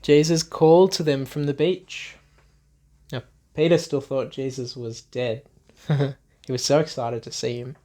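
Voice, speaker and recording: A man's voice: mid-range voice, steady tone, a little slow, mellow. A man reading a Bible story aloud for children, expressively, in simple repeated phrases with questions to his young listeners.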